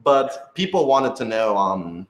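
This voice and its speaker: A low-pitched man talks in a roomy, echoing space. He speaks as a writer on a casual Q&A panel, rambling and working out his thoughts aloud as he goes. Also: big pitch swings, measured pace. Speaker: writer and producer of an audio drama. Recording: roomy, echoey room sound.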